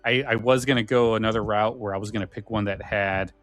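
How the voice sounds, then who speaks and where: A man co-hosting a podcast in a casual, informal and somewhat halting way. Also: on the low side, steady tone, fairly bright tone, no echo, faint music behind. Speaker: podcast co-host.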